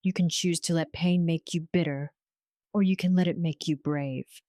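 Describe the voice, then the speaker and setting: A woman's voice: on the low side, fairly even intonation, moderate pace, mellow, no room sound, studio quality, lightly acted. A woman voicing a tender, resolute young survivor in an audio romance story, speaking earnestly and with measure.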